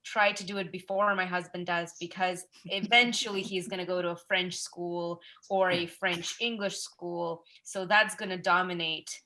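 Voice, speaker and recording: Woman, bright and forceful voice, emphatic, video call chat. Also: lowish voice, steady tone, normal speed, hardly any pauses, low-quality recording. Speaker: participant parent. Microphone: laptop video call.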